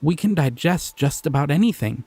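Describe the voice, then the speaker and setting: A man voicing a gentle, curious male slime creature in an audio roleplay; he is soft-spoken, easily delighted and chuckles often. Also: a little high, animated, normal speed, breathy edge, dry recording.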